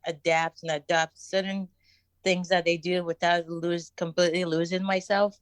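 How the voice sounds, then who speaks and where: A Nigerian woman talks in a frank, emphatic podcast chat. She is quick to laugh. Her voice sits a touch low, and the sound is close and dry. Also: level tone, clear, resonant tone, no room sound. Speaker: podcast guest.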